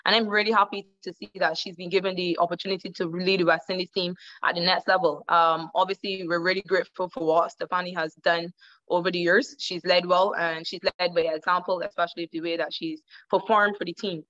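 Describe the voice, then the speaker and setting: Woman from barbados, kinda low voice, video call audio sounds dull, pauses and repeats words. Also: flat delivery, a bit bright. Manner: thoughtful, repeats words while searching. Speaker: cricketer guest. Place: indoor room at home.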